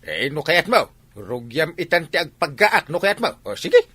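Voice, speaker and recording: A man with an Ilocano accent playing an excitable, indignant villager in a barangay comedy drama. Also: a little high, moderate pace, husky, no echo, full character voice. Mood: defiant.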